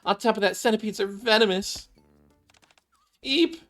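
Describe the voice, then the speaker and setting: A streamer voicing an excitable young female survivalist from a video game, with gushing exclamations. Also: mid-range voice, measured pace, soft background music, voice pushed up, natural voice. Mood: panicked. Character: excitable young woman survivalist, squeamish about bugs. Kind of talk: acted reading of video game dialogue.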